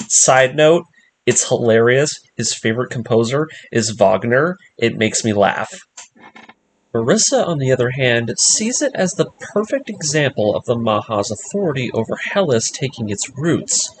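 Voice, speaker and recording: A man narrating a scripted story breakdown as an enthusiastic fan host, with expressive, emphatic delivery. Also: mid-range voice, normal speed, rough recording. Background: background music.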